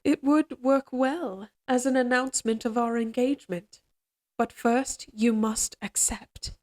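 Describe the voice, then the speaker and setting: A woman voicing an obsessively devoted, quietly menacing evil queen in an audio drama, speaking in a formal, measured, imperious way. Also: on the high side, steady tone, lightly acted. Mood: imperious resolve. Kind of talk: scripted dramatic audio roleplay.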